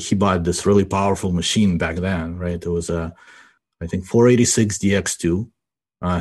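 A man's voice: low-pitched, a little mellow, breathy edge. A man in his forties from Ukraine, a guest in a casual podcast interview, rambling and tagging his sentences with "you know" and "right?". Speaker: guest, IT business owner.

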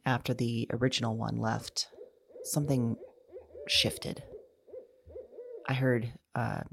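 A woman playing a frightened, lonely, tender woman resigned to doom in an audio drama, speaking haltingly and hushed, sometimes whispering. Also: very deep voice, gravelly, slight background noise, exaggerated voice. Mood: uneasy, resigned. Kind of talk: intimate audio drama monologue.

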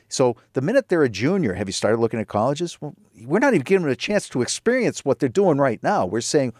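A man's voice: medium pitch, darkish tone, no echo, studio quality. A man in his fifties hosting an interview, talking in an earnest, reflective way with many restarts. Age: 50s. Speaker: podcast host. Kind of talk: conversational interview.

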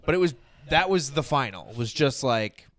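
A person with a deep voice hosting a casual podcast, talking informally and searching for words aloud. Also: very animated, normal speed. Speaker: podcast host.

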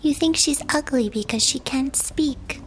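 An insistent, seductive female go-between in a comic sci-fi audio play. Her voice sits high, and she coaxes and pleads softly for what she wants. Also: unhurried, a little hiss or hum. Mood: coaxing, insistent. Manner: coaxing, pleading. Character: insistent seductive female interpreter for a silent companion. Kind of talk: sci-fi comedy audio drama acting.

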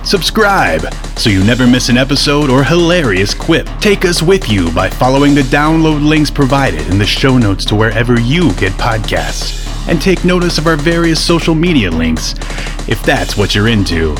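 A wry male announcer delivering a scripted outro with animated pitch. His voice is mid-range, with music playing clearly behind it. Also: moderate pace. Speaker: podcast announcer. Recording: voice over clear background music.

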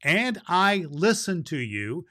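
A man's voice: high voice, big pitch swings, takes it slowly, pushed and bright. Man as a jolly Santa storyteller. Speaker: podcast host playing Santa Claus. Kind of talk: children's storybook reading and show chat.

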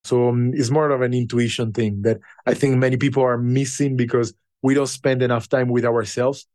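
A man who learned English later in life, a podcast guest telling his story in an unhurried, reflective way with pauses. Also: medium pitch, little pitch movement, a little mellow, no room sound, studio quality. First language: not English. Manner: unhurried and thoughtful, with pauses and fillers.

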